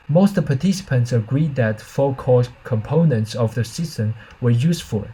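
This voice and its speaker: Formal male research narrator, muted husky voice. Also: mid-range voice, warm tone, rough recording. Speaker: researcher presenting a paper. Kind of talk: scripted academic narration.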